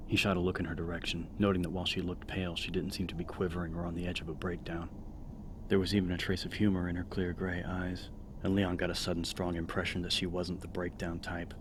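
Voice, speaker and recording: A man's voice: deep voice, level tone, fast talker, noisy background. A man narrating horror fiction in a dramatic, scripted audiobook style.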